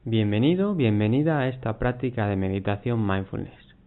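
A man guiding a mindfulness body scan, giving unhurried instructions in short phrases with long pauses. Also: fairly low pitch. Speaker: meditation guide. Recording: muffled, phone-like narrow band.

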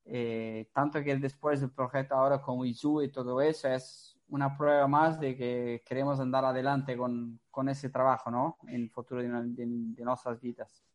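A middle-aged man, native in Portuguese and clearly accented, talks in a medium register. His phrasing is non-native and informal, dotted with little questions that seek agreement. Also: medium pitch, little pitch movement, normal speed. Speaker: host, marine mammal trainer. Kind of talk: casual introductory chat.

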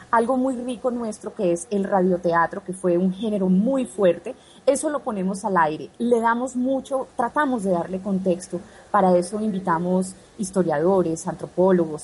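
A woman's voice: medium pitch, a little mellow, rough recording. Colombian woman interviewed over background noise. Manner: didactic, enumerates points. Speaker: radio archive representative being interviewed. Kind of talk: explanatory radio interview answers.

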